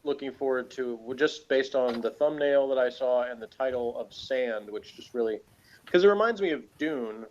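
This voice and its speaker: A man's voice: mid-range voice, moderate pace, darkish tone, lo-fi sound. A man from Texas chatting casually and unscripted as a co-host, rambling and correcting himself with a dry joking manner. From Texas, United States. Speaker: podcast co-host reviewing a TV episode.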